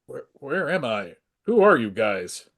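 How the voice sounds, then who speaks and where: A man's voice: medium pitch, sing-song, measured pace, a bit bright, heavily acted. A man voicing an earnest male superhero in a dramatic comic book reading. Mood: dazed, disbelieving.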